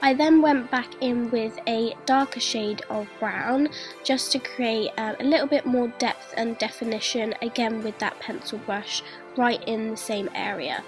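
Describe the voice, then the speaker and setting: Congested young woman narrating a makeup tutorial. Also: on the high side. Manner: chatty, friendly, step-by-step explaining. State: congested, says she is sick. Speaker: beauty vlogger. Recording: voice-over with clear music behind.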